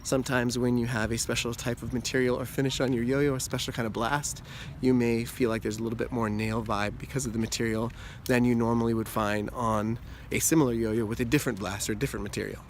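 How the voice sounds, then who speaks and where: A man hosting his own channel, talking casually to camera with a slightly hoarse voice from a cold, explaining things in a relaxed, rambling way. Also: mid-range voice, fast talker, slight background noise. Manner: relaxed, rambling explanation with frequent "you know" and "like". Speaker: yo-yo channel host.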